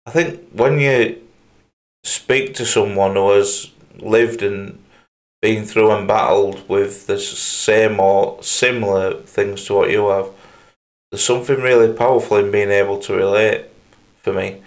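A young man hosting an interview on a video call, asking measured, supportive questions. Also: on the low side, steady tone. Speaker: host.

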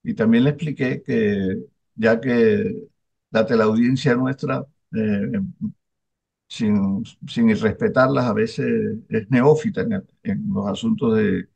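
An older man hosts the interview with a gravelly, husky voice. His speech is halting and full of fillers. The video call audio comes through dull and lo-fi. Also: on the low side, fairly even intonation, a little mellow. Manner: halting, many restarts and fillers. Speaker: interviewer, newspaper publisher. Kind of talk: casual interview chat.

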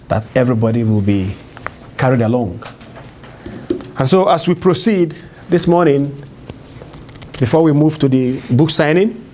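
A middle-aged man with a mid-pitched voice addresses a room as host. His delivery is formal and measured, built on short emphatic phrases, with faint noise behind him. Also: darkish tone. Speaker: event host introducing a speaker. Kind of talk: public address, introduction. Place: indoor meeting room.

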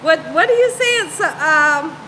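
A high, bright-voiced woman talks at an unhurried pace, playful and animated. She chats informally with her livestream viewers and likes to repeat a phrase for emphasis. She sounds like she is at home, speaking toward a phone at arm's length. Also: a little hiss or hum. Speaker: cooking show host on a livestream. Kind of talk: casual live chat with viewers. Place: home room, indoors.

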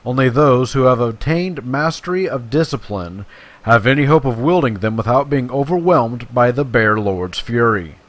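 A man with a medium-pitched voice talks his way through a video game. He is chatty and playful, slangy in his remarks and given to musing to himself, and he also reads quest text aloud. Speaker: video game player and commentator. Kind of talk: casual gameplay commentary with quest text read aloud.

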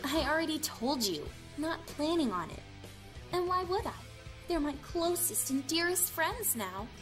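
Smug young female pony villain played by a woman, high voice, taunting and theatrical, audio drama over music. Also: big pitch swings, unhurried. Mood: mocking. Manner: mocking, theatrical. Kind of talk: fan audio drama acting.

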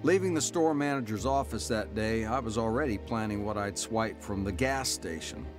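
A man's voice: on the low side, moderate pace, lightly acted. An actor playing a grown man narrating his hard childhood in a reflective, matter-of-fact way. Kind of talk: scripted first-person drama narration. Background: music.

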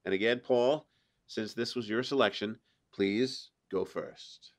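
A man's voice: lowish voice, a little slow. A man hosting a casual panel podcast in a chatty, jokey way with a touch of mock formality. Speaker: podcast host.

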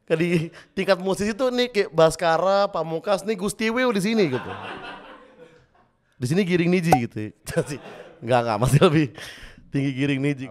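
Young male host, a bit hoarse but resonant, rambling comic monologue, close studio mic. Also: fairly high pitch. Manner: joking, rambling, self-mocking asides. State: slightly hoarse voice. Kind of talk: comedic news monologue. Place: small studio with brick wall. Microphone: studio mic on stand with headphones.

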